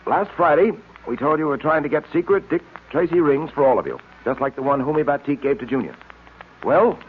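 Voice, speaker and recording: A man playing a hearty, friendly detective hero with a husky voice, briskly addressing children on an old radio show. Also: on the high side, lively intonation, slight background noise, natural voice. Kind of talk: 1940s radio advertising pitch in character. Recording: tinny old radio recording.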